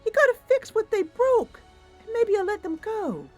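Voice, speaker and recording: A tiny female water nixie for a fantasy roleplay. The voice is very high and leaps about. The manner is playful, gushing and theatrical. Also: very animated, moderate pace, darkish tone, soft background music. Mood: indignant bargaining. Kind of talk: tabletop fantasy roleplay character.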